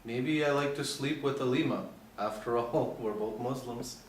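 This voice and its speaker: A man's voice: mid-range voice, normal speed, close to an everyday voice. A man playing a cool, sly undercover pilot in a screenplay read, speaking in a clipped, insinuating, matter-of-fact way. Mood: sly, suggestive. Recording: echoey room, distant mic, faint noise.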